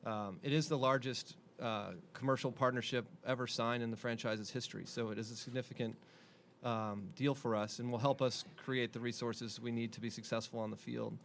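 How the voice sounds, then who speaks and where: A man's voice: medium pitch, steady tone, fairly quick, creaky, a little hiss or hum. A man, the club chairman, answering a reporter's question at a press conference in a composed, businesslike way.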